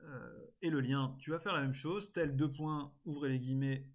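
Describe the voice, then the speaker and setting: A man presenting an informal screen-recorded software tutorial, explaining step by step in a casual way with frequent little interjections. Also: on the high side, normal speed. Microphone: screen-recording voice-over.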